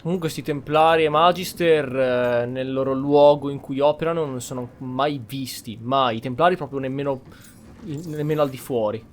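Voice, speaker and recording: A man's voice: medium pitch, fairly quick, slight background noise. An Italian man giving casual commentary as host of a video game playthrough. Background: prominent game music.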